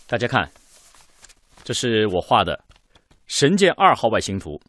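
An actor playing a practical, confident engineer in a radio drama, presenting a design in an orderly, persuasive way. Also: male voice, fairly low pitch, very animated, a little slow, a bit bright, no room sound, heavily acted. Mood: confident, explanatory.

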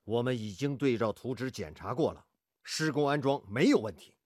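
A man's voice: mid-range voice, animated, moderate pace, darkish tone, no room sound, studio quality, close to an everyday voice. An actor playing a firm, capable steel plant foreman in a radio drama, decisive and polite.

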